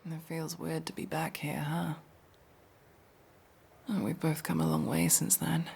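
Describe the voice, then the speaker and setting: A woman playing a masked tsundere elf mercenary in an intimate ASMR roleplay, speaking in a hushed, halting, breathy and husky voice. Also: low-pitched, steady tone, slight background noise, full character voice. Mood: soft, relieved.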